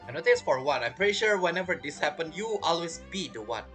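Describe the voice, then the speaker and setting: A man giving casual gameplay commentary as a streamer, in a halting, rambling, self-interrupting way. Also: on the high side, expressive, music in the background. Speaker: game streamer.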